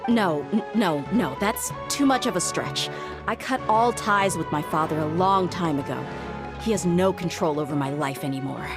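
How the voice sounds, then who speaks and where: Frank, tough female mercenary for a game story: lowish voice, firm and loyal, clear music behind. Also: expressive, moderate pace. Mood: firm, conflicted. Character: tough, loyal young woman mercenary bodyguard, frank and principled. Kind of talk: anime-style video game voice acting.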